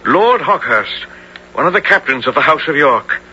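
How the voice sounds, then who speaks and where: Bright, forceful commanding captain. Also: male voice, fairly high pitch, sing-song, normal speed, full character voice. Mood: courteous, resolute. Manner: declamatory, archaic formal diction. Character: bold, commanding English captain of men-at-arms, hearty. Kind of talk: 1970s radio drama acting. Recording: dull, faintly noisy old radio recording.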